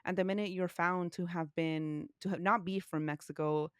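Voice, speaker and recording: A woman's voice: on the low side, steady tone, normal speed, clear, resonant tone, no echo, studio quality. A woman from California, a podcast guest, telling stories in casual conversation with lots of "like" fillers. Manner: chatty storytelling, frequent "like" fillers.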